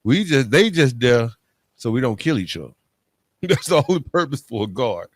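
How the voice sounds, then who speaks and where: A man's voice: medium pitch, sing-song, moderate pace, dry recording. Middle-aged man, close dry sound. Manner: emphatic, punctuates points with tag questions. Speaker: podcast host. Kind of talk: conversational podcast interview.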